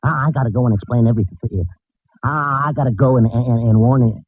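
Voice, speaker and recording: A man's voice: mid-range voice, fast talker, slightly rough, no echo, lightly acted. A man playing a flustered, lovestruck comic sidekick who stammers and trips over his words in a 1940s radio comedy. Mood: agitated, stammering. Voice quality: stammering, stuttering. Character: bumbling stuttering lovestruck comic sidekick fellow. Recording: muffled narrowband old-time radio recording.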